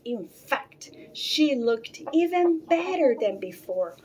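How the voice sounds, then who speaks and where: A woman reading a children's storybook aloud with expressive, deliberate phrasing. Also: high-pitched, husky. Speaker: storybook reader.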